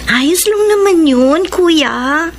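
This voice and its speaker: A woman's voice: high voice, lively intonation, extremely slow, a little hiss or hum, full character voice. An actress playing a cheerful, patient schoolgirl and devoted little sister in a radio drama, girlish and easygoing. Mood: reassuring.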